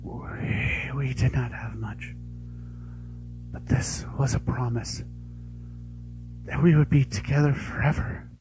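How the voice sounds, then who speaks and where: A man voicing a tender, devoted young soldier returned from death, speaking in a hushed, halting, earnest way in audition drama style. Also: mid-range voice, faint music behind. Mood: earnest, emotional.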